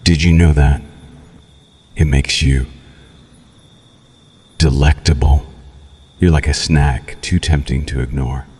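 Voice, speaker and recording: A man's voice: very deep voice, fairly even intonation, a little mellow, full character voice. A man playing a cruel, seductive vampire in an ASMR roleplay, taunting the listener with formal, theatrical menace. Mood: hungry, seductive. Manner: slow, theatrical, mocking, menacing purr with formal diction.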